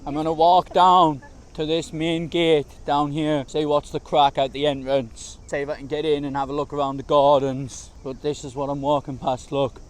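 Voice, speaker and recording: A man vlogging as he walks through gardens in the heat, his voice slightly hoarse, talking in a chatty, slangy, easygoing way. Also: a little high, little pitch movement, normal speed. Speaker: travel vlogger. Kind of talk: casual walking vlog narration. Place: outdoors in large city gardens, walking in heat.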